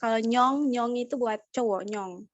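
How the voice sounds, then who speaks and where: A woman's voice: on the high side, measured pace, lo-fi sound. A young woman student from Maluku answering her lecturer in an online class with short, hesitant replies, rain outside. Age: young adult.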